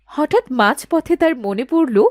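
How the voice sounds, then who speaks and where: High-voiced woman narrating a cartoon story. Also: animated, measured pace, dry recording.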